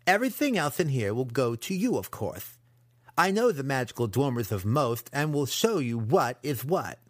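A man voicing a sly, cruel young male dark elf apprentice in an audiobook, taunting as he schemes. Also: medium pitch, very animated, normal speed, no room sound, clean studio sound. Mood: demanding. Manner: taunting, matter-of-fact scheming. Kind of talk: audiobook character acting.

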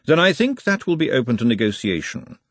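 A man voicing an urbane, calculating city ruler in a storybook, with measured, formal, dryly understated speech. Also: mid-range voice, sing-song, dry recording, voice pushed up. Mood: wry, unruffled.

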